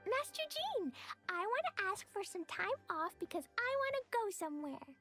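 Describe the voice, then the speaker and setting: A woman's voice: extremely high pitch, very animated, normal speed, over music. A woman voicing an earnest, stubborn, innocent little girl knight in a video game, with a childlike voice. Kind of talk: English video game voice acting.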